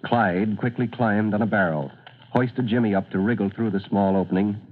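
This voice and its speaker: A man's voice: on the low side, normal speed. A man narrating a classic radio drama as announcer, grave, theatrical and emphatic. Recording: tinny vintage radio recording.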